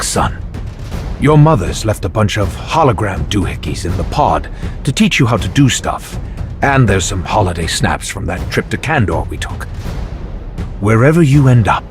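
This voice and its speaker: An actor playing a solemn heroic alien father, narrating a video game dramatically with deadpan humour. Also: lowish voice, a little slow. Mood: resolute, fatherly. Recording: music behind the voice.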